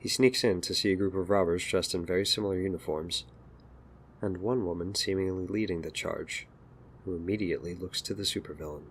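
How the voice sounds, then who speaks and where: A man's voice: deep voice, fairly quick. A man narrating a scripted audio drama, with ambient noise behind him.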